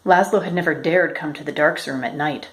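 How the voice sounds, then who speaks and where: A woman reading a children's picture book aloud as a storyteller, in a measured, expressive way. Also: low voice, a little hiss or hum. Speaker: storyteller, educator.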